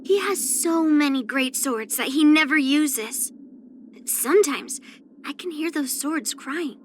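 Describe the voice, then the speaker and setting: A woman's voice: sits high, expressive, takes it slowly, a little hiss or hum. A woman voicing a spirited, proud young swordswoman in an anime-style video game, speaking in an earnest, blunt, slightly pouty way. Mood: pouty, complaining.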